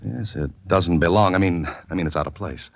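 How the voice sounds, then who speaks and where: An actor playing a laconic, wisecracking writer-adventurer with an American accent, narrating a 1940s radio mystery. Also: male voice, low-pitched, brisk, creaky. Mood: dry, bantering. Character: dry, wry writer and amateur adventurer, male narrator. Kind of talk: 1940s radio mystery acting, hard-boiled first-person narration. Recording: muffled 1940s radio recording with music.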